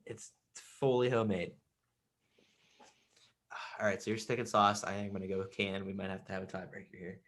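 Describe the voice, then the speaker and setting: A man hosting a podcast in easygoing chat, his voice bright and forceful. He is playful and often repeats a word or two before getting a sentence out. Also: deep voice, level tone, fairly quick, pauses often. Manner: playful, repeats words when starting sentences. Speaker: podcast host. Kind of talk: casual chat.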